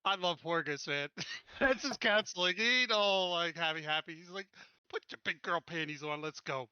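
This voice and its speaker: A man's voice: fairly high pitch, normal speed, sharp tone, clean tone, dry recording, muffled recording. A man chatting casually as a tabletop game player, blunt and plain-spoken.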